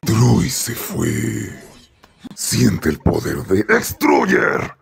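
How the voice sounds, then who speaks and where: Gravelly, taunting crystal supervillain. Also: male voice, fairly low pitch, lively intonation, faint music behind, full character voice. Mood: menacing. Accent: Latin American. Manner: slow, theatrical, swooping delivery. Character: taunting crystal-armored male supervillain, cocky and menacing. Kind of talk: amateur Latin American Spanish cartoon dub.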